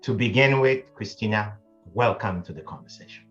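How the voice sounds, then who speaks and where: A man hosting an interview, making measured, formal introductions. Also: lowish voice, a bit bright, faint music behind. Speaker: interview host. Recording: dull lo-fi audio.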